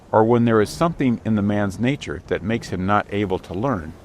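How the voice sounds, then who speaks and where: A man with a fairly low voice narrates a storybook as an audiobook. The reading is scripted and dramatic. Outdoor ship ambience is clearly heard behind the voice. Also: a little hiss or hum.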